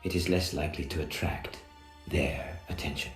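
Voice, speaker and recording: A man playing a grave, secretive Persian stranger in an audio drama, speaking formally and deliberately in a hushed voice that often drops to whispers. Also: very deep voice, steady tone. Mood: secretive, wary. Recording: echoey, roomy sound with music.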